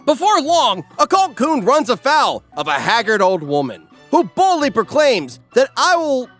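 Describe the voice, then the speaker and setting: A man's voice: extremely high pitch, huge pitch swings, moderate pace, fairly bright tone. A man reading a scripted comedic anime recap as narrator, sardonic and theatrical. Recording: music behind.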